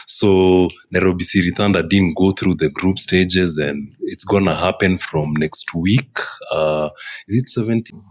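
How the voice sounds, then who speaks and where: Male podcast host, young, low voice, hyped and repetitive. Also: unhurried. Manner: excitable, repeats phrases for emphasis. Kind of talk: casual podcast chat.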